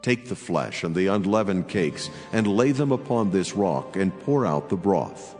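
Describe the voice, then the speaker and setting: A heavenly messenger in a scripture drama. The voice is very low and rings out in long reverb over soft music. He is calm, solemn and commanding, with measured phrasing. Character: angel of the Lord, calm divine male messenger.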